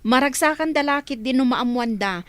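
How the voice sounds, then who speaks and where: A grown eldest daughter played by a woman in a radio drama. Her voice is high, and she explains herself in a defensive, placating way. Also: moderate pace, close to an everyday voice. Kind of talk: Ilocano radio drama acting.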